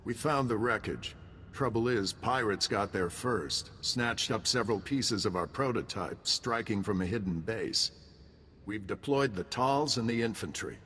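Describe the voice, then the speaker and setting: An actor playing a gruff, pugnacious admiral in a video game, giving orders in a blunt, salty, commanding way. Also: male voice, fairly low pitch, expressive, unhurried, fairly bright tone, long reverb tail, slight background noise. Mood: gruff, determined.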